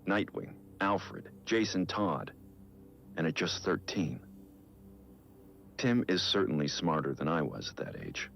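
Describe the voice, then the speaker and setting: A super deep brooding vigilante, middle aged, grave and guilt-ridden game audio log, kinda muffled recording. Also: male voice, big pitch swings, creaky, a little hiss or hum, heavily acted. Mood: grave, analytical. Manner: grave, measured, restrained. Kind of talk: scripted audio log narration for a video game.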